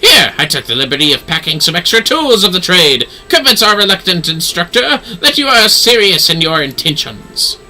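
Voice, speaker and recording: A man putting on a flamboyant, high-pitched theatrical gentleman. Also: big pitch swings, soft background music, full character voice, low-quality recording. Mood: gushing, persuasive. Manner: florid, exclaiming, drawn-out delivery. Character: flamboyant, theatrical aristocratic man, gushing and grandiose.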